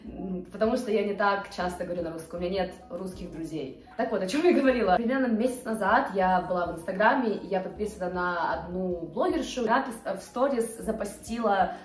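A woman's voice: medium pitch, a little fast. Chatty young woman vlogging on a couch. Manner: chatty, hesitant with many fillers, gestures with hands. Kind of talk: casual chat to camera. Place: bright living room, on a couch. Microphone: camera a few feet away. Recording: roomy sound with faint background music.

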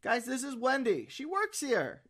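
A woman voicing a cheerful, earnest teenage boy in a cartoon comic dub, chatty and excitable. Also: high voice, measured pace, breathy edge, exaggerated voice. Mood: excited.

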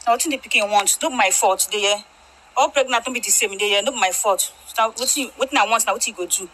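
A man's voice: high-pitched, fast talker, bright, forceful tone, a little hiss or hum, voice pushed up, low-quality recording. A man voicing a defiant pregnant young wife in a comedy skit, speaking Nigerian Pidgin in an insistent, dismissive way. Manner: insistent, dismissive, repeating herself.

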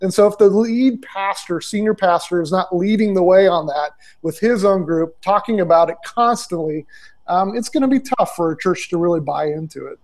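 A man in his forties speaks through earbuds on a video call from a small room. His voice sits a little high for a man. He answers in a loose, conversational way, full of fillers and restarts. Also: normal speed, a little mellow. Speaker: ministry consultant. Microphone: earbud headset on video call.

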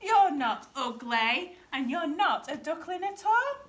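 Lady doing a nice storybook swan, high voice, friendly and inviting. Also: sing-song, measured pace. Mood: reassuring. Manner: calling out invitingly.

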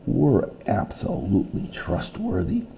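A tiny, earnest female helper from a storybook, reassuring and sincere, as a man reading aloud puts her on in a dark, gravelly register. Her lines come out a little louder than the narration. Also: lowish voice, huge pitch swings, unhurried, a little hiss or hum, heavily acted.

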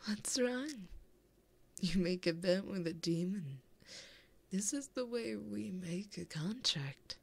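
A woman voicing a flirty, possessive, taunting female demon in an ASMR roleplay, breathy and often whispering in a teasing, intimate way, close to a binaural mic. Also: medium pitch. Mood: teasing, soft. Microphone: close binaural microphone.

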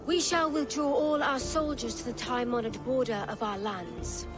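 An actress playing a dignified middle-aged noblewoman, formal and measured in her speech. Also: a little high, close to an everyday voice. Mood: diplomatic. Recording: game soundtrack with music underneath.

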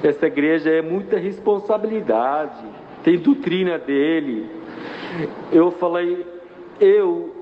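A middle-aged man with a slightly high voice recounts his faith story, earnest and halting in a language not his own. The recording is dull and carries a long reverb tail. Faint noise hums underneath. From Iraq. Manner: earnest, halting non-native Portuguese. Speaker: church member giving testimony. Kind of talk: personal religious testimony.

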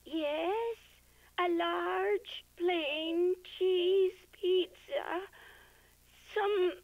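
Puppet-show radio caller played by a woman: confused, single-minded lady, piercingly high, plaintive, on a dull narrow-band line. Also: dry recording, full character voice. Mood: plaintive, insistent. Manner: drawn-out, single-minded, oblivious. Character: confused, insistent female pizza-ordering caller, slow and plaintive.

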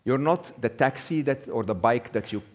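A man's voice: medium pitch, expressive, moderate pace. A middle-aged man giving a live talk, conversational, checking in with the audience with a frequent "okay?". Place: small indoor venue with brick wall.

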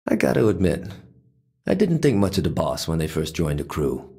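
An actor playing a tough, blunt, loyal street gang lieutenant, recording a streetwise audio log in a video game. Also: male voice, huge pitch swings, normal speed, warm tone, exaggerated voice. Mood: admiring, frank. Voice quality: low, rough, husky.

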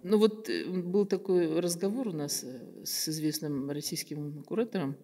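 An older woman with a slightly low voice speaks slowly and reflectively, pausing often and searching for her words. She sits at a table mic in a bright room, and her voice carries a noticeable room reverb. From Kazakhstan. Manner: thoughtful, many pauses and fillers. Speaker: guest artist. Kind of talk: reflective interview talk. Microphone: table microphone on stand.

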